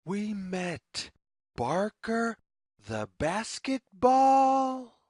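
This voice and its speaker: A woman reading a story aloud for young children, phrasing deliberately like a teacher and putting questions to the listener. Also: medium pitch, big pitch swings, darkish tone, no room sound, clean studio sound. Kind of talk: children's story read-aloud, scripted narration.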